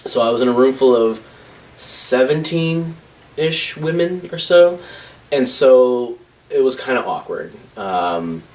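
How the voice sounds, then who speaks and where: A man in his thirties in a documentary interview, talking hesitantly with many pauses and fillers. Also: medium pitch, expressive, darkish tone. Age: 30s. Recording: voice from a distance with faint noise.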